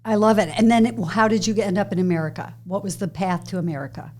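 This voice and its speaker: A woman's voice: mid-range voice, quick pace, darkish tone. A woman journalist interviewing the host on a podcast, asking questions in an enthusiastic, encouraging way.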